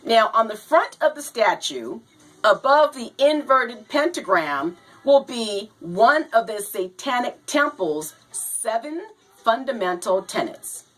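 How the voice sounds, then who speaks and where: A woman hosting a video show, slow and halting, a little hoarse from a cold, talking to viewers and reading aloud. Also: a little high, very animated, rough recording. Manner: slow, halting, says "you know" and "now". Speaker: host.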